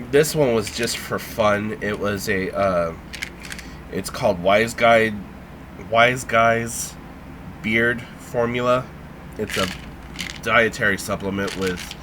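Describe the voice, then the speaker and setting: A man vlogging in a casual, rambling way, halting with lots of ums and sounding a little congested. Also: fairly low pitch, background noise. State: congested, feeling sick.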